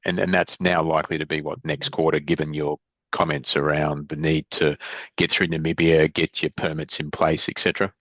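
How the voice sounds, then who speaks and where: A man's voice: very deep voice, level tone, moderate pace, a bit bright, no echo. A male analyst asking conversational questions on an investor call, hesitating and stammering as he rephrases them, over dull call audio.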